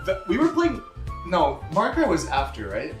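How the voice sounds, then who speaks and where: Loud, animated man chatting. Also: sits high, normal speed, echoey room, soft background music. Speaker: streamer. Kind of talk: casual chat.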